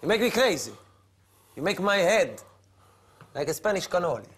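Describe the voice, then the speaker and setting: Man acting a hot-headed, high-voiced mob henchman. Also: sing-song, normal speed, fairly bright tone, husky, full character voice. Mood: exasperated. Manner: barking, impatient, theatrical. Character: bossy, hot-headed male mafia henchman, comically exasperated. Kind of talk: comic mafia film acting.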